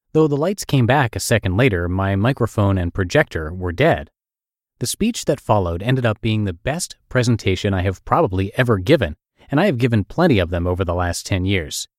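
A man hosting a podcast, reading a blog post aloud in an expressive, animated storytelling way. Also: on the low side, dry recording, studio quality. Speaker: podcast host reading an essay.

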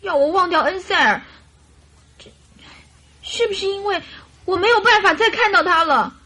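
A woman's voice: extremely high pitch, expressive, moderate pace, sharp tone, heavily acted. A woman playing a naive, devoted young lady in distress in a radio drama, pleading and girlish. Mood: insistent, upset. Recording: radio drama with music behind.

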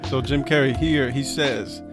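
A man's voice: medium pitch, unhurried, music in the background. A man co-hosting a casual podcast, laid-back, explaining his points step by step. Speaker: podcast co-host.

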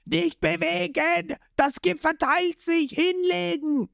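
A man puts on a brash, burly cook from a comic, shrill and far above his own voice. The lines are loud and bossy, in a dry close sound. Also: wildly swooping pitch, takes it slowly, dry recording, exaggerated voice.

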